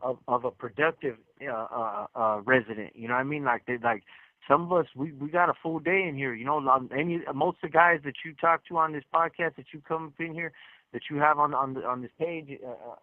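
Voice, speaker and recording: A man's voice: on the high side, fairly quick, dry recording. Man on a tinny phone line. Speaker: incarcerated guest caller. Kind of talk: casual, earnest explanatory talk. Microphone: telephone handset.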